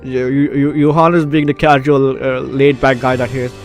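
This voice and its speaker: A man's voice: mid-range voice, little pitch movement, moderate pace. A man hosting a casual let's play, chatting and reading a story game's text aloud. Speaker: gaming video host. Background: game music.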